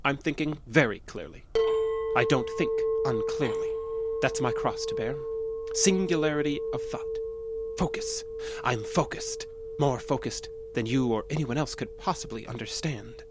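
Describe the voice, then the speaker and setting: An actor in an audio drama playing an obsessive, unstable male patient with a halting, nervous, insistent manner. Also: mid-range voice, big pitch swings, heavy background noise, close to an everyday voice. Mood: intense, rattled. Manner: halting, nervous, insistent, stammering under pressure.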